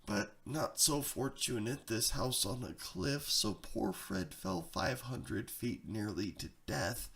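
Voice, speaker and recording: Animated, gravelly male verse storyteller. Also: on the high side. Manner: dramatic, sing-song rhythmic delivery. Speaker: storyteller, reader. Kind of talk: theatrical rhyming verse narration of a darkly comic tale.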